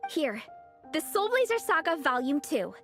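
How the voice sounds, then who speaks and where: A woman's voice: high-pitched, big pitch swings, normal speed, fairly bright tone, heavily acted. A woman playing an upbeat, friendly young athletic girl in a video game, earnest and enthusiastic, with an encouraging manner. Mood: friendly, eager. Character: upbeat, eager, friendly young female athletic comic fan. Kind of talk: video game character dialogue. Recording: game audio with music behind.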